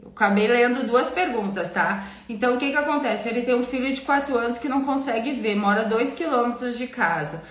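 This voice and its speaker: A woman, hoarse from a cold, talking straight to her webcam from a home office. Her voice sits a little high and carries some room echo. She explains things in a relaxed, chatty way and keeps closing her points with a quick tag question. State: has a cold, nearly voiceless. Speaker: family lawyer answering viewer questions. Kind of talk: casual live-stream Q&A. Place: home office with bookshelves, indoors.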